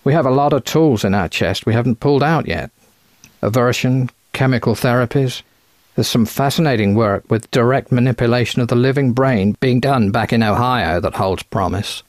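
A man's voice: mid-range voice, normal speed, no room sound, natural voice. A man voicing an eager, chatty American physician with a quacking voice and folksy, clipped phrases in an audiobook. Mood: earnest, folksy. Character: thin, eager American physician running a sanitarium.

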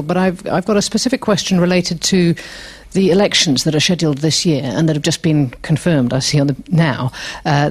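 Husky-voiced woman asking a careful question. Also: fairly low pitch, a little mellow. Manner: careful, slightly hesitant. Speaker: visiting academic, audience questioner. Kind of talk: question from the floor. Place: lecture hall.

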